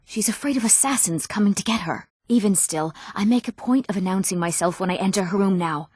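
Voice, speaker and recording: A young woman playing an eager, earnest townswoman in a fantasy video game, speaking expressively and clearly. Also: medium pitch, fairly quick, a little mellow, no echo, clean studio sound, natural voice. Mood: earnest, fretful. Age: young adult. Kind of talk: scripted fantasy game dialogue.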